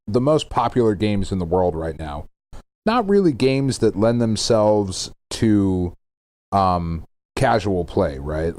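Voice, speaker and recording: A man's voice: on the low side, a little mellow. A middle-aged man co-hosting a podcast, talking casually about games. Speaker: podcast co-host. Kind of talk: casual gaming podcast chat.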